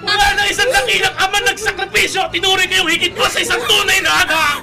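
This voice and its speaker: An actor playing a bitter, grieving eldest son in an emotional, declamatory Tagalog radio drama style. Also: male voice, very high-pitched, fairly even intonation, fast talker, sharp tone, shouting, soft background music, a faint crowd, exaggerated voice. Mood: anguished, accusing.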